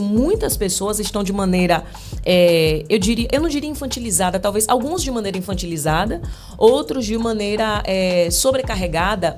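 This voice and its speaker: A woman in her thirties from Brazil, a guest on a podcast, talking earnestly about marriage and faith, with restarts and fillers. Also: medium pitch. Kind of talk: podcast interview.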